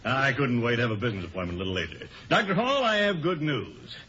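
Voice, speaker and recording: Jaunty old board governor, lively swings. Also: male voice, mid-range voice, quick pace. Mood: brisk, pleased. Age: older. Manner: hearty, clipped sentences. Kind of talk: 1950s radio comedy acting. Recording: dull vintage radio recording.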